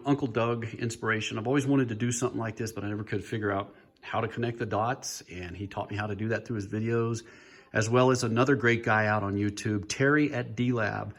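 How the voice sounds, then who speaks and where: A man casually presenting a hobby build in an informal, chatty, lightly joking way, recorded on a handheld phone. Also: medium pitch. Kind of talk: casual show-and-tell walkthrough.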